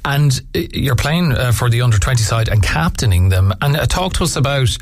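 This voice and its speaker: A man hosts a radio interview from a studio, hesitant and full of "uh"s. His voice sits at an ordinary pitch, and the sound is dry and close. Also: expressive, a little fast, no room sound. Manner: hesitant, many fillers and restarts. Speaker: radio show host.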